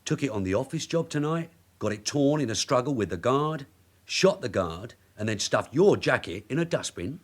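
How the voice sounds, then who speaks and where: Shrewd veteran detective sergeant, lively pitch. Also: male voice, moderate pace. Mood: wry, probing. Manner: dryly sardonic, probing questions. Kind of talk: radio drama acting.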